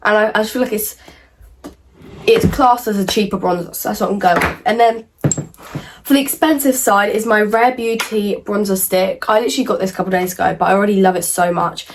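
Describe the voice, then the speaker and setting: A young woman, croaky from a cold, chatting casually through a makeup tutorial with lots of "like" and "um". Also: medium pitch, steady tone, a little fast, a little hiss or hum. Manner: chatty, rambling, many fillers like "like" and "um". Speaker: beauty vlogger. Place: at home in front of a mirror.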